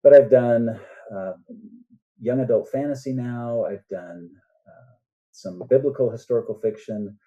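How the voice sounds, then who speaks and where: Very dark-voiced older man, muffled recording. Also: mid-range voice, unhurried. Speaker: guest author. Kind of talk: casual interview chat.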